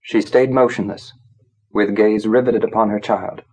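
A man narrating a literary story from a script, in a measured, grave, dramatic storytelling manner. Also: on the low side, steady tone, darkish tone, husky, rough recording. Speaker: audiobook narrator.

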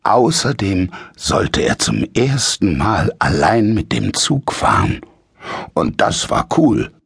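A man reads a children's tale in a very deep, husky voice. His delivery is slow and full of dramatic swings in pitch, with a close, dry sound. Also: dry recording. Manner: slow, expressive, dramatic storytelling. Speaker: audiobook narrator. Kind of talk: scripted children's story narration.

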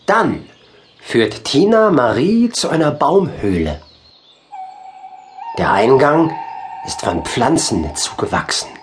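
A man narrating a children's audiobook in an expressive storytelling style. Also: mid-range voice, takes it slowly, pauses often, slight background noise.

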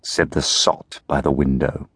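A man, reading a fairy tale as audiobook narrator in expressive storytelling style. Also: a bass voice, unhurried, slightly rough, no echo, studio quality.

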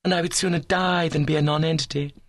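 A man's voice: a little high, fairly even intonation, quick pace, dry recording, clean studio sound, pitched above the performer's own voice, lightly acted. A man voicing an ambitious, haughty young woman with an earnest, prim, scornful manner in a comic story reading. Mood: disdainful.

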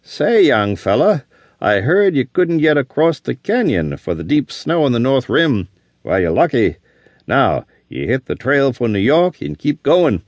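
A man's voice: mid-range voice, big pitch swings, unhurried, dry recording, voice pushed up. A man voicing a scarred old male plains veteran who talks in a blunt, drawling Western way. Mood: gruff warning.

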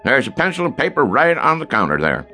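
Boastful country storekeeper, rural American accent, bright voice, animated pitch, unhurried drawl, radio drama. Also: male voice, faint music behind. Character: folksy, boastful small-town storekeeper telling tall tales.

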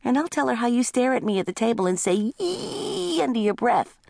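A woman's voice: fairly high pitch, sing-song, a little fast, gravelly, a little mellow, no echo, studio quality, voice pushed up, full character voice. A woman voicing a cheeky, defiant little brother in a comic family story, with bratty, taunting retorts.

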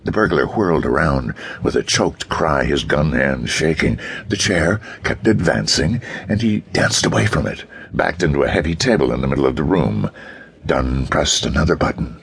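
A man narrates pulp fiction for an audiobook. His voice is exceptionally deep, with a husky grain. The reading is scripted and dramatic. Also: lively intonation, moderate pace, a bit bright.

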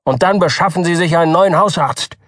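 A man's voice: mid-range voice, a little slow, creaky, a bit bright, slightly rough, no echo, clean studio sound, exaggerated voice. A man voicing a stern, irritable old family doctor who speaks in curt, snappish lines. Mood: irritated.

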